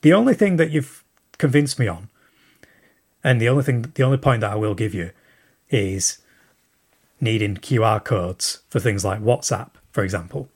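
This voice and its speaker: A man's voice: fairly low pitch, expressive, moderate pace, long pauses, a little mellow, slightly rough. A man sending a recorded question to a show, thinking aloud and rambling with plenty of fillers. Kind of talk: recorded listener message.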